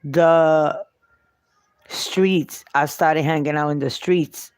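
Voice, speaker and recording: Middle-aged woman, New York Puerto Rican accent. Unscripted personal storyteller, unhurried and halting, recorded dry. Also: low voice, fairly even intonation, a little mellow, smooth, no echo. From Puerto Rico and New York. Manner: halting, with long pauses between short phrases. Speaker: vlogger telling her life story.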